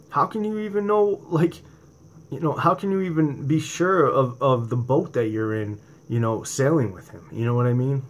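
New England man preaching to a webcam. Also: mid-range voice. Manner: impassioned, rising to near-shouts on emphasis, then quieter and conversational. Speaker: religious video host. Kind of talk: informal preaching to camera. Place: home room indoors at night. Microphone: webcam or laptop camera from below.

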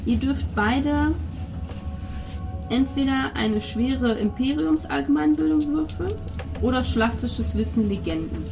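A woman's voice: high-pitched, animated, measured pace, vocal fry. A woman, narrating a tabletop role-playing game as game master. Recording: loud music under the table talk. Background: music.

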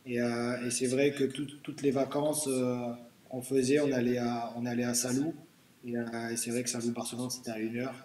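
A man's voice: medium pitch, level tone, moderate pace, darkish tone. A man in his thirties talks casually over a video call, stopping and restarting his sentences with lots of fillers. He sounds a bit far from the mic in a roomy, echoing space, and faint noise sits under his voice. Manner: hesitant, many fillers and restarts. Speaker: guest footballer. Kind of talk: casual interview answers. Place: small room with bare wall. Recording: echoey room, distant mic, faint noise.

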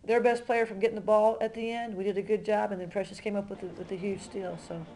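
A middle-aged woman, a college basketball coach, giving conversational postgame press conference remarks, often halting and repeating words as she goes. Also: mid-range voice, flat delivery, mellow, slight background noise, low-quality recording. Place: indoor press room in front of a backdrop.

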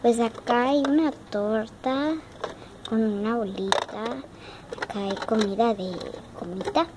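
A young Argentine girl chats on and on, repeating words and using lots of little diminutives. Her voice sits a bit high. The home phone recording carries a clear layer of background noise. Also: a little slow. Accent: Argentine Spanish. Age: child. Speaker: young vlogger showing her dollhouse. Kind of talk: casual show-and-tell narration. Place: home room with wooden floor, indoors. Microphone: phone camera held in hand.

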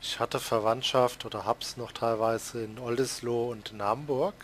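A man's voice: mid-range voice, steady tone, normal speed, slight background noise. Chatty man with a Palatinate accent. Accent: Palatinate German. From Palatinate, Germany. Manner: chatty, laughs often, self-mocking. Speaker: let's play host. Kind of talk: casual gaming chat.

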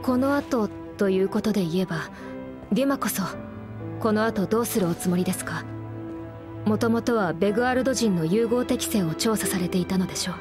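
A woman plays a composed young woman in a game cutscene who asks questions in formal, courteous speech. Her voice is mid-pitched, with game music playing clearly under it. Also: fairly quick, lightly acted. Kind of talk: video game cutscene voice acting. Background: game soundtrack music.

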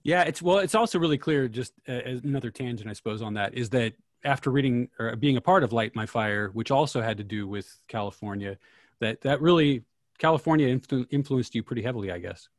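Man, middle pitch, dry sound, halting, thoughtful, casual host. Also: no room sound. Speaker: host of a play-reading discussion. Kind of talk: casual discussion. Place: indoors, video call.

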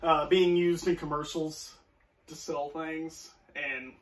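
A man's voice: fairly high pitch, little pitch movement, slow pace. A young man in his twenties co-hosting a casual chat, rambling with many fillers and restarts. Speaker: co-host. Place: small room, seated on a couch. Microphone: far camera. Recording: voice from a distance.

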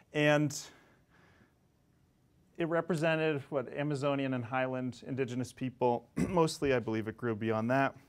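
A man in his forties lectures a class from the front of the room, animated and chatty, with quick asides to his listeners. His voice sits at an ordinary middle pitch and comes through clean on a clip-on mic. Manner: animated, gesturing, conversational with asides. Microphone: lapel mic.

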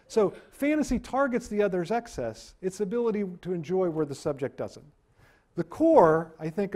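Animated high-voiced man lecturing. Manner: animated, self-deprecating, gestures a lot. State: losing his voice. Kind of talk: university lecture. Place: wood-paneled room, indoors.

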